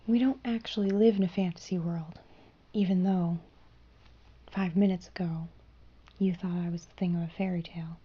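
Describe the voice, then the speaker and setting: A young woman voicing a gentle female fairy in human form, whispering softly and reassuringly. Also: on the low side, fairly even intonation, moderate pace, muffled recording. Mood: soothing. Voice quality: breathy whisper. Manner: soft soothing whisper, reassuring and hushing. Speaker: ASMR roleplayer. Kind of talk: whispered ASMR roleplay.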